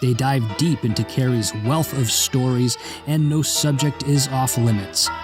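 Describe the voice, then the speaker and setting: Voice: mid-range voice, unhurried. Announcer reading over guitar music. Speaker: podcast intro announcer. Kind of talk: scripted promotional narration.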